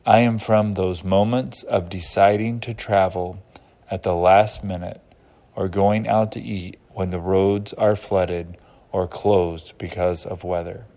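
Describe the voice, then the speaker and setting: A man reading a personal poem aloud in a measured, listing recitation. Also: low-pitched, fairly even intonation, warm tone.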